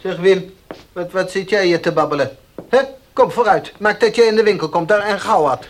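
A man's voice: high-pitched, moderate pace, lightly acted. Scheming male tradesman, irritable and curt, radio play sound with slight hiss. Male actor. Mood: bossy, irritated. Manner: brusque, agitated, repeats himself. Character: irritable, bossy, scheming village baker. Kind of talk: Dutch radio play acting. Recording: faintly hissy old radio play.

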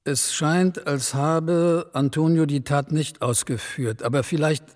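A man's voice: fairly high pitch, steady tone, unhurried, natural voice. An actor playing a sober, sceptical city official in a German radio drama, formally weighing his words. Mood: sceptical, resigned. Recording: reverberant studio radio play sound.

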